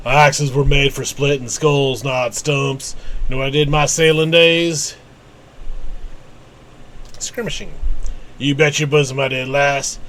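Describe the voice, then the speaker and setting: A man voicing a gruff, boastful old ex-sailor with an axe in a fantasy game. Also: medium pitch, fairly even intonation, unhurried, pauses often, a bit bright, lightly acted. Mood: boastful, nostalgic. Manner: boastful, folksy.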